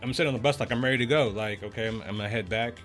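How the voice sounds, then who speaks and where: Deep-voiced laid-back male streamer. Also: rapid-fire, fairly bright tone, faint music behind. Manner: laid-back, rambling, lots of "man" and "you know". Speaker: game streamer. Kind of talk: casual livestream chat.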